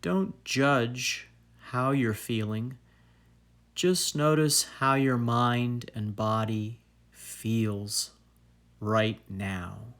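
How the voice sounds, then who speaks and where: A man leads a guided meditation at an extremely slow pace. His pitch sits in an average male range. He speaks calmly and soothingly, placing each phrase deliberately and leaving long pauses between them. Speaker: meditation guide and fitness trainer.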